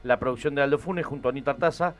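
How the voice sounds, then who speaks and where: A man's voice: mid-range voice, very fast. An Argentine man hosting a casual interview, talking emphatically and piling up synonyms as he rephrases. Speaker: interviewer, show host.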